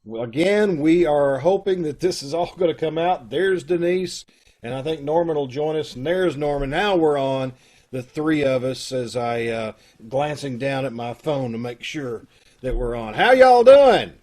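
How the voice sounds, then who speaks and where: A man's voice: a little high, lively intonation, normal speed, darkish tone. A man hosting a live video call from home. He chats casually and rambles, with lots of fillers. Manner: folksy and rambling, with many fillers. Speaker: host of a community theater troupe.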